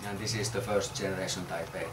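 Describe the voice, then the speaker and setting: A man in his forties giving an informal talk to a small group, halting with many fillers and repeated words. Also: sits low, flat delivery. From Finland. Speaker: architect presenting a research project. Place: small crowded room, indoors. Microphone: far camera. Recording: roomy, distant, noisy room.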